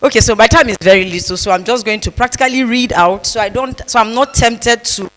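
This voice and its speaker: A woman's voice: on the high side, a little fast, a bit bright. A woman pastor giving a conference talk, telling stories with humor and repeating phrases for emphasis. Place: conference hall. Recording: long reverb of a large hall.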